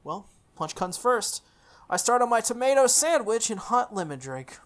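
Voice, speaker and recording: A woman reading a visual novel aloud in first person, with expressive delivery, occasional stumbles and her own asides. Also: fairly high pitch, normal speed. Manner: expressive, self-correcting reading.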